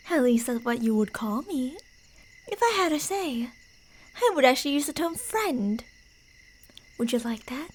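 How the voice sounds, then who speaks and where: A woman playing a delusional, playfully menacing yandere killer in an ASMR roleplay, teasing in a sing-song way and slipping into breathy whispers. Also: sits high, slight background noise. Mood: teasing menace.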